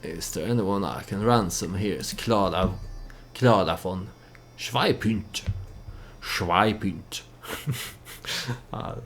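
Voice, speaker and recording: A man's voice: low voice, expressive, extremely slow, long pauses, fairly bright tone, slight background noise. A man who is not a native English speaker gives casual gameplay commentary with storytelling, in a hesitant, meandering manner. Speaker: let's play host.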